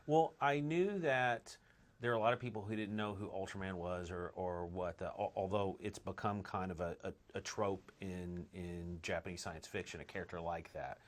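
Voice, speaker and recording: A man's voice: low voice, moderate pace. A man, a film director, talking in a casual interview with enthusiasm and frequent restarts. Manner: enthusiastic, restarts phrases often.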